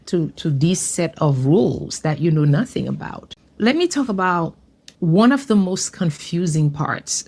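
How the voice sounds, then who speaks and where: A woman from Haiti giving an earnest, measured personal testimony. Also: on the low side, darkish tone, breathy edge.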